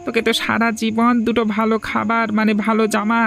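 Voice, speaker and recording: Dejected poor village dad acted by a man, creaky voice, flat intonation, sorrowful cartoon delivery. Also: high-pitched, moderate pace, a little hiss or hum. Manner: sorrowful, resigned. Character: dejected poor village father, a street entertainer. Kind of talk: Bengali moral-story cartoon dubbing.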